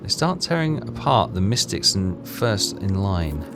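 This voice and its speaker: A man's voice: low voice, sing-song, measured pace, loud music behind the voice. A man hosting a game playthrough, thinking aloud in casual commentary.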